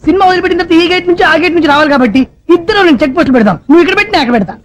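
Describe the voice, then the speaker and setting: A man playing an excitable, bumbling, boastful sidekick in an Indian film comedy, fussy and over-dramatic. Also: extremely high pitch, racing. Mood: scheming. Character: excitable bumbling comic sidekick, eager to help. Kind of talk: Telugu film comedy acting.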